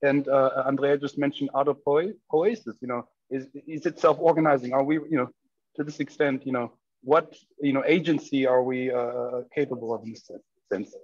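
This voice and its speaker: A man's voice: mid-range voice, fairly even intonation, normal speed, a little mellow, no room sound. A man whose first language is German speaks on a video call, rambling through his point with frequent fillers. His audio is dry and muffled, lacking clarity. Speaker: discussion group member. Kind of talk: casual academic discussion.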